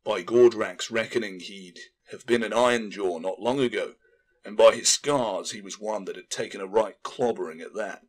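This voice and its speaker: A man's voice: lowish voice, moderate pace, slightly rough, low-quality recording. A man reading scripted fantasy fiction as an audiobook narrator, in a dramatic storytelling style.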